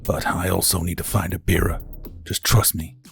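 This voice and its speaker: Male actor as hard-boiled detective: deep, gravelly, stubborn, gruff, for sci-fi radio drama. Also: steady tone, moderate pace, soft background music. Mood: firm, insistent.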